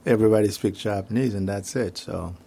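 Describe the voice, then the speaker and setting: A man's voice: fairly low pitch, fairly even intonation, a little fast, creaky, warm tone, husky. A middle-aged man from the Congo, speaking reflectively and hesitantly as an interview guest. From Democratic Republic of the Congo. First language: French and Swahili. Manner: hesitant, searching for words.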